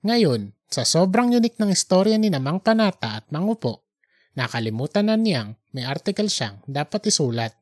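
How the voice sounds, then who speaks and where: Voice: medium pitch, big pitch swings, moderate pace. A Filipino-accented narrator reads a story for an audiobook podcast. The voice sits in a middle register and follows scripted prose.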